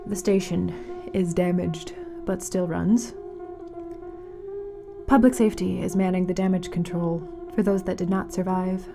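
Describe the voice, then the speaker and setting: Woman acting as a solemn doom-struck radio announcer, lowish voice, dramatic halting podcast narration, music behind her. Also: fairly even intonation, gravelly. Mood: solemn, mournful. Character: grave, mournful radio announcer of a ruined eldritch city. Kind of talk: scripted fiction-podcast narration as a community radio broadcast.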